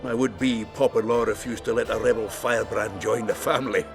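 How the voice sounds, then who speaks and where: An actor playing a gruff, crude but hearty dwarf warrior in a fantasy video game, blunt and salty. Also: male voice, lowish voice, steady tone, brisk, over music. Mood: indignant, storytelling. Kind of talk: cinematic fantasy game acting.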